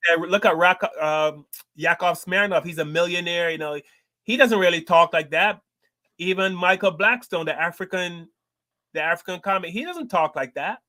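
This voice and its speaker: A man's voice: high-pitched, normal speed, pushed and bright, dry recording. A man, a guest comedian talking casually on a panel show and animatedly acting out exchanges as he makes his points.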